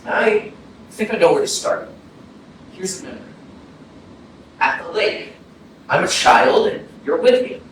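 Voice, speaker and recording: Man acting guilt-ridden young male, high voice, halting and earnest, stage reading, distant mic in echoey hall. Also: big pitch swings, background noise. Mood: reflective. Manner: hesitant, halting. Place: arts center stage.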